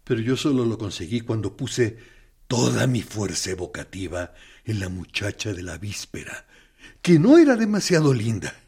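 An actor in a radio drama playing a tormented torturer captain, confessing with anguish and swinging from hushed to loud. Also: male voice, on the low side, very animated, normal speed, breathy edge, heavily acted. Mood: anguished confession.

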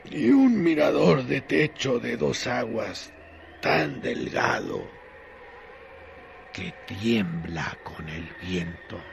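A man performing as the solemn narrator of a radio drama, with deliberate, literary phrasing and long pauses. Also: mid-range voice, big pitch swings, vocal fry, slight background noise, full character voice. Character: solemn male narrator of a desert tale.

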